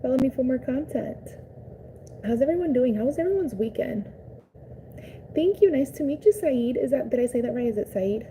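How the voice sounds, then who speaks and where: A woman's voice: high voice, expressive, moderate pace, warm tone, poor audio. Bubbly young woman chatting on a livestream. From Cuba. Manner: bubbly, chatty, greets viewers. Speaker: live streamer. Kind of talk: casual live stream chat. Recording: faint background noise.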